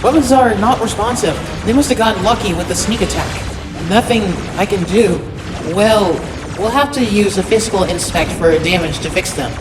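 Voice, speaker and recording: A woman's voice: medium pitch, normal speed, close to an everyday voice. A woman voicing a glib, practical robot cheetah gunner with a matter-of-fact manner in a comic dub. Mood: frustrated. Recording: echoey room, music underneath.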